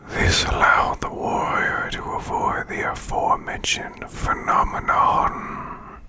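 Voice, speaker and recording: A man voicing a male demon boss in an ASMR bedtime reading, with a breathy, rasping, growled whisper and slow, deliberate storytelling. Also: close to an everyday voice. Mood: eerie, hushed. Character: male demon boss, growling while lulling listener to sleep.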